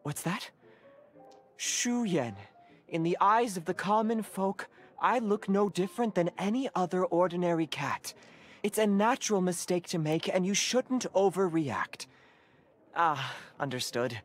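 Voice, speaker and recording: A man's voice: high-pitched, measured pace. A man playing a grandiose, formal and reverent disciple who translates for a cat in a video game. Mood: solemn. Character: pompous, devoted male card-game disciple translating for a cat. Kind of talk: video game cutscene acting. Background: game music.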